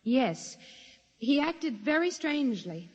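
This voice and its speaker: High-voiced earnest secretary witness. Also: female voice, sing-song, slow, darkish tone, slightly rough, full character voice. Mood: emphatic. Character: earnest female secretary testifying as court witness. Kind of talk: 1950s radio drama acting. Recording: muffled old radio, long hall reverb.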